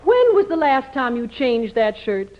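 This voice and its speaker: A prim, bossy American frontier woman for 1950s radio. She speaks fairly high with animated swings and a crisp, commanding manner. The recording is slightly muffled. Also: measured pace. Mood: stern, commanding.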